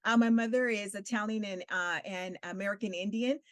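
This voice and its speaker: A woman's voice: medium pitch, a little fast, no room sound. Woman, middle-aged, Spanish first language, earnest, many fillers, dry sound. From Southern California. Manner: warm, earnest, laughs often, frequent fillers. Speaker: guest. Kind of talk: interview conversation.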